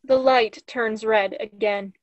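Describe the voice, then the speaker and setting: A woman's voice: on the high side, steady tone, slow, no echo, lo-fi sound. A young woman reading stage directions as scripted narration for a play. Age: young adult. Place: small room at home, video call.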